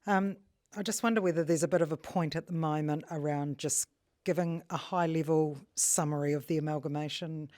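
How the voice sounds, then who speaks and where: A woman explaining things in a measured way as she facilitates a public meeting. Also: sits low, fairly even intonation, darkish tone.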